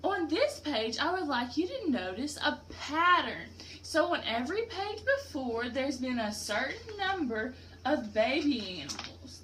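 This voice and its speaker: A woman's voice: high voice, fairly bright tone, slight background noise. A woman teacher doing an interactive picture-book read-aloud for a class, explaining simply and putting questions to pupils.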